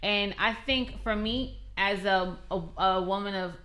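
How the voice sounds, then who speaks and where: A woman's voice: mid-range voice, measured pace, bright, forceful tone. A woman co-hosting a casual group chat, talking frankly and emphatically with frequent laughter. Russian was her first language. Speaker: podcast co-host.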